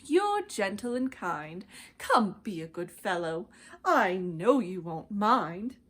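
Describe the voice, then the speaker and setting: A woman's voice: mid-range voice, big pitch swings, slow, a bit bright, exaggerated voice. A woman voicing a flighty, carefree female bird in a children's storybook, sing-song and wheedling. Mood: coaxing.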